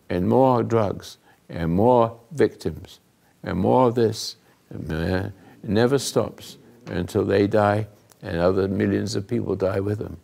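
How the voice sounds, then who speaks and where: An older man hosting a talk, giving rambling, earnest moral teaching to a live group, often dropping into hushed asides. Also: on the low side. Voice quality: gravelly, husky. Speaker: host and spiritual counselor.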